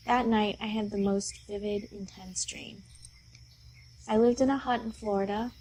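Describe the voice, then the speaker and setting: A woman with a mid-pitched voice tells the story of a dream in a soft, storytelling way. Her voice sometimes drops toward a whisper. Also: slight background noise, rough recording. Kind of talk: recounting a dream.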